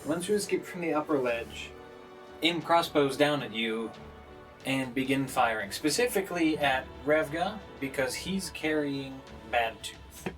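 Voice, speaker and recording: A man narrating a tabletop roleplaying game as game master, improvising with halting pauses, with music playing behind him. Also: a little high, lively intonation, fairly bright tone. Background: background music.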